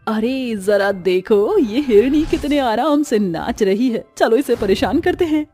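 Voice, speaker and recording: A woman voicing a playful, mischievous young girl with magical powers in a cartoon fairy tale, lively and teasing. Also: on the high side, measured pace, faint music behind. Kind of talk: Hindi cartoon story voice acting.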